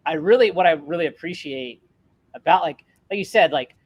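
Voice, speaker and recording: Enthusiastic male co-host, quick filler-heavy chat. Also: on the high side, slightly rough, dry recording. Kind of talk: casual interview chat.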